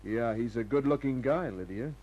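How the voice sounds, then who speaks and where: An actor playing a hard-boiled, cynical private detective in a 1950s radio crime drama, tough and clipped in delivery. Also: male voice, mid-range voice, moderate pace, a little mellow, lo-fi sound, lightly acted. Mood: tough, pressing.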